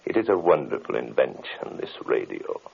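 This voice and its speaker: An actor playing a gloating, megalomaniac criminal mastermind with a husky voice and a theatrical, taunting delivery in an old radio thriller. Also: male voice, rumbling low, very animated, heavily acted. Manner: theatrical, slow, taunting, commanding. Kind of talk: 1940s radio horror acting. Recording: muffled old radio broadcast.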